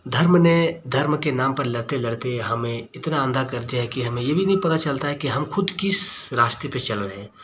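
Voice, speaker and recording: A man's voice: mid-range voice, lively intonation, normal speed, hardly any pauses, a bit bright, slight background noise. A man giving an earnest opinion monologue to viewers as a vlogger, speaking emphatically and repeating key words and phrases.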